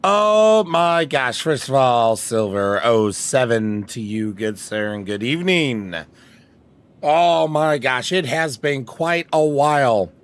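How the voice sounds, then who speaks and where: A man hosting a live stream in a casual chatty way, his voice raspy as he recovers from a long trip. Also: medium pitch, lively intonation, takes it slowly. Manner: theatrical greeting, then rambling chatty asides. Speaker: streamer host.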